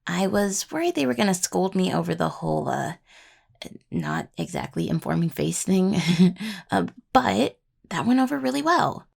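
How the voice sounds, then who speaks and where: A woman playing an affectionate, teasing necromancer in an intimate audio roleplay, talking softly and playfully to a partner, with a husky, gravelly voice. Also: medium pitch, big pitch swings, moderate pace, full character voice. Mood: warm, teasing. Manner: soft, playful, casual delivery with sighs and murmured asides. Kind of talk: intimate audio roleplay acting, addressed to a listener.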